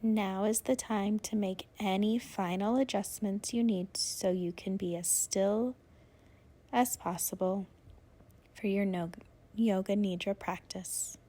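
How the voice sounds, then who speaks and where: A woman leading a guided yoga nidra relaxation, giving soothing, unhurried instructions with long pauses. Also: medium pitch, fairly even intonation, dark tone.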